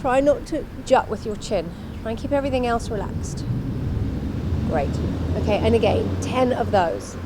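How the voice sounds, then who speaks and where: A woman's voice: a little high, slight background noise. A woman instructor talking through an exercise demonstration in an instructional way, repeating her cues as she goes.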